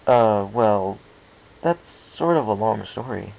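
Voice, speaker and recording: A man's voice: fairly low pitch, lively intonation, slow, creaky, a little mellow, exaggerated voice, rough recording. A man voicing an awkward, shy, polite young male storybook pony with a hesitant manner. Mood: sheepish. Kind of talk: storybook character voice in fan fiction reading.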